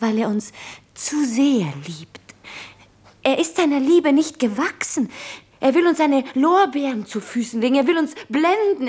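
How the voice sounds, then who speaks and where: An actress playing an earnest, anguished wife in a 1950s German radio drama, intimate and fervent, with a husky, breathy voice. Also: female voice, on the high side. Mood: wistful, loving.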